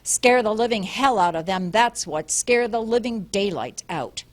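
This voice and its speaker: Brief: a woman playing an old man's hurried, tense earpiece voice, urgent and low. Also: animated, close to an everyday voice. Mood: fierce.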